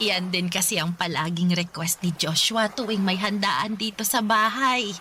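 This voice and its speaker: A woman playing a warm, modest, chuckling middle-aged mother who welcomes a guest, in an audio love-story drama. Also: mid-range voice, slow pace, a little hiss or hum. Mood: modest, pleased. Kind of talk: radio-style love story drama acting.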